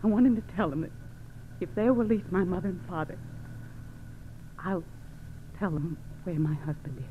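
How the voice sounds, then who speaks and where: A scheming gangster's wife played by a woman pleads and confides as if helpless, in 1940s radio drama style. The recording is tinny and narrow, with a steady hiss. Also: on the low side, normal speed, close to an everyday voice. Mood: urgent pleading.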